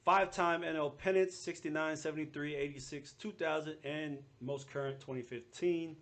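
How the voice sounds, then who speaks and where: A man's voice: on the high side, fairly even intonation, moderate pace, fairly bright tone. A man in his thirties chatting informally as a sports podcast host, with slangy, bantering delivery. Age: 30s. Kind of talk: casual sports podcast chat.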